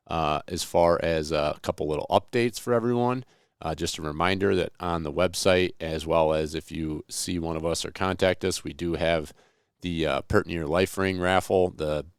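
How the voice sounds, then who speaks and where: A man hosting a podcast in a folksy, plain-spoken way, his voice hoarse from a lingering flu. His tone is very low, yet smooth and clean. The sound is dry and close, with no room around it. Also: dry recording. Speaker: podcast host. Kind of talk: solo podcast intro, casual talk. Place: Buffalo, New York area.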